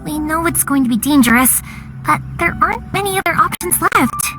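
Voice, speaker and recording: A young woman voicing a cheerful fireworks maker who talks in a lively, reassuring way, over game music. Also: sits high, a little fast. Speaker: fireworks shop owner. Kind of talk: video game dialogue. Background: game background music.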